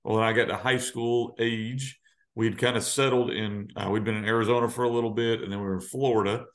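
A man, a high school football coach, telling stories in a relaxed, easygoing way as a podcast guest. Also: brisk. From United States. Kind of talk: casual storytelling in interview.

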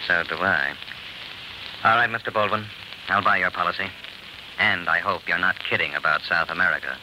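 An actor playing a bitter, cornered blackmail victim in an old-time radio drama, terse and sardonic. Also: male voice, sits low, moderate pace, natural voice. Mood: grudging. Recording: hissy, noisy old radio recording.

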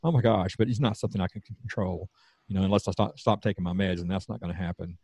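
Southern man, slightly slurred halting speech. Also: low voice, steady tone, darkish tone, husky, dry recording. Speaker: podcast guest, artist. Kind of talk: casual podcast conversation.

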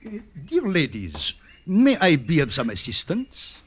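A gushing suitor. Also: male voice, fairly high pitch, wildly swooping pitch, a little slow. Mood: gallant. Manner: gushing, flowery romantic. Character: smooth-talking French young suitor. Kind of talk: 1940s radio acting. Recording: old radio recording with faint hiss.

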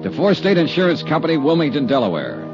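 A man's voice: a little high, huge pitch swings, fast. An American actor playing a tough insurance investigator who narrates his own case in a 1950s radio detective drama. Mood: terse, measured narration. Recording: muffled old radio broadcast, music behind.

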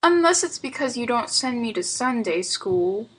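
A woman's voice: a little high, sing-song, normal speed, husky, pitched above the performer's own voice, rough recording. A woman voicing a mischievous, earnest little boy in a storybook, with childish slang and plaintive reasoning. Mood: earnest, defiant. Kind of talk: storybook character dialogue.

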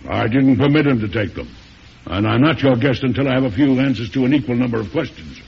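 A very husky American president, stubborn and blunt, with lively swings of pitch. He speaks with full authority in a muffled old radio drama. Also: quick pace, full character voice. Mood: firm, demanding. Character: stubborn, commanding American president, quick to challenge. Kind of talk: mid-century radio drama acting.

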